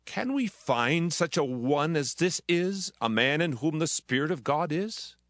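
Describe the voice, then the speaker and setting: Casting: commanding ancient king, higher-pitched, very animated, stately delivery, music underneath. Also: unhurried, no room sound, studio quality. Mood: decisive, regal. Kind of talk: dramatized scripture reading. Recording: music behind the voice.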